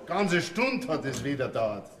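An actor with a Bavarian accent playing a good-natured, sly village shepherd in a folk comedy, spinning his tale with drawn-out pauses. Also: medium pitch, very animated, crowd noise, close to an everyday voice. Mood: sly, storytelling. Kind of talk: broad folk comedy stage acting. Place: theatre stage set. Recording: roomy stage sound, faint noise.

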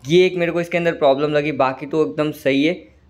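Man, slightly raised pitch, a bit hoarse, chatty gameplay commentary, voice-over. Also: steady tone, brisk. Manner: chatty, keeps calling viewers "brother". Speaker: gaming channel host.

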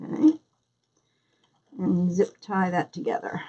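A woman with a Philadelphia accent talks slowly through a live craft demonstration, her voice a little husky. She chats along and greets viewers by name between steps. The recording sounds dull and lo-fi. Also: fairly low pitch, steady tone, dark tone. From Philadelphia area, US. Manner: chatty, greets viewers by name while working. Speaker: craft tutorial host.

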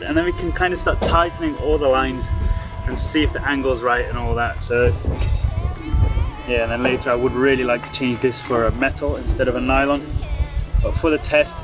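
A young man casually explaining boat restoration work step by step as he works in a boatyard and a wooden wheelhouse. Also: mid-range voice. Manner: relaxed, explains step by step while working. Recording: guitar music behind.

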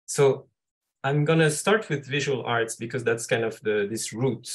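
A man with a mid-pitched voice gives an illustrated talk over a video call. He speaks reflectively, stopping and restarting as he works out his thoughts. The delivery is that of a guest lecturer explaining his own work. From Switzerland. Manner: reflective, halting, thinking aloud. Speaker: guest speaker, architect and scenographer. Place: indoors, online video call.

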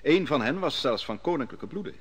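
Solemn prophet Daniel. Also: male voice, medium pitch, lively intonation, slightly rough. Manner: measured, solemn storytelling. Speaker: narrating prophet. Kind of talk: 1950s Dutch radio drama acting.

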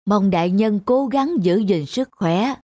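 A woman's voice: mid-range voice, animated, unhurried, mellow, no echo, clean studio sound. A woman voicing a deferential, concerned male court aide in a cartoon folk tale, speaking respectfully and formally.

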